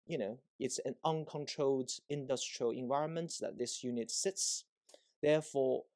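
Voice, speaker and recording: A man's voice: mid-range voice, darkish tone. Man explaining a technical demonstration. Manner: explanatory, frequent check-in tags like "right?" and "okay?". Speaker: presenter, EMC engineer.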